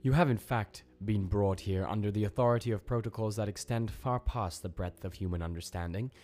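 Man voicing a slow, imperious alien hive voice. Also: on the low side, darkish tone, lightly acted. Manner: slow, formal, declarative. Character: disembodied alien hive voice, cold, formal, imperious.

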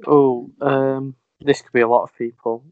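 A man's voice: mid-range voice, flat delivery, moderate pace, smooth, no echo, narrow, tinny audio. A man playing a quiz, talking himself through his guesses. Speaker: quiz contestant. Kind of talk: casual quiz game chat.

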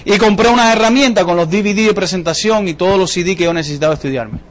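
A high-voiced man with a Cuban accent presents a business seminar. He talks in an emphatic, folksy way, repeating key points and throwing rhetorical questions at his audience. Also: fast talker. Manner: emphatic, colloquial, repetitive, full of rhetorical questions. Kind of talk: motivational sales talk to an audience.

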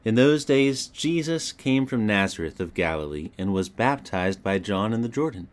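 A man reading scripture as a scripted audiobook narration, with an expressive storytelling delivery. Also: lowish voice, moderate pace.

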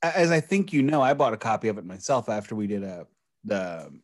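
A man's voice: on the low side, very animated, brisk, dry recording, studio quality. A man co-hosting a podcast, chatting enthusiastically with a joking touch. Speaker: podcast co-host. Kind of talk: casual podcast chat.

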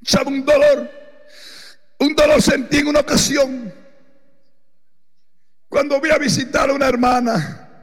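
A man preaching as pastor, shouting his lines in a sing-song preaching cadence. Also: extremely high pitch, fairly even intonation, a little slow, long pauses. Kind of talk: sermon. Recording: long reverb, echoey hall.

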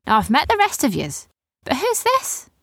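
A woman voicing a fierce but warm-hearted female pirate leader in a children's story, with colloquial turns of speech. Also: sits high, wildly swooping pitch, moderate pace, dry recording, clean studio sound, pitched above the performer's own voice, heavily acted. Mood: fierce, wary. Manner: colloquial, says yous and lassie.

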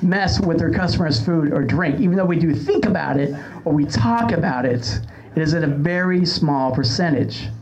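A man's voice: fairly high pitch, normal speed, darkish tone, rough recording. A man hosting a podcast in casual conversation, with a sarcastic, wry way of talking. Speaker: podcast host. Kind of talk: casual chat.

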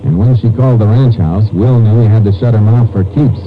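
An actor playing a determined, businesslike Texas Ranger in a 1950s radio drama, speaking in a clipped, authoritative way. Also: male voice, fairly low pitch, a little fast, a little hiss or hum, lightly acted. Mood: reasoning, matter-of-fact. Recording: muffled 1950s radio recording, music behind.

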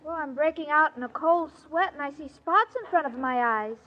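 A woman's voice: high-pitched, moderate pace, lightly acted. An actress playing a sweet, naive, self-dramatizing young woman in a 1940s radio comedy. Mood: melodramatic self-pity. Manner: naive, earnest, melodramatic. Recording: noisy dull old radio recording, loud audience.

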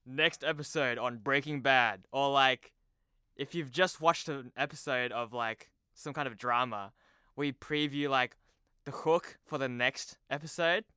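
A man's voice: medium pitch, a little slow, bright, forceful tone, smooth, no room sound, clean studio sound. A young man chatting casually as a podcast guest, informal and self-deprecating. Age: young adult. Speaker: podcast guest, TV promo producer. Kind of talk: casual chat. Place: remote video call.

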